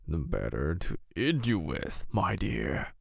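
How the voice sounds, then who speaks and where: A man voicing a sly, hungry wolf who feigns friendliness, with a cracked, wheedling croak. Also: low-pitched, very animated, slow pace, dry recording, clean studio sound, heavily acted. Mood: menacing.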